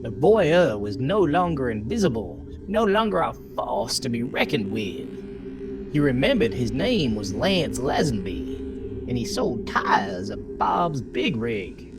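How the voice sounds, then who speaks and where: A man's voice: mid-range voice, very animated, slow pace. A man narrating a horror story in a theatrical, suspenseful manner. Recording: music under the voice throughout. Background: prominent music bed.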